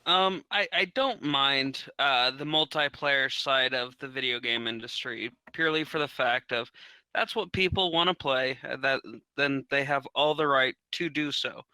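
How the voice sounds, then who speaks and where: A man discussing casually as a podcast guest, measured and easing into his points. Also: mid-range voice, sharp tone, clean tone, no room sound, poor audio.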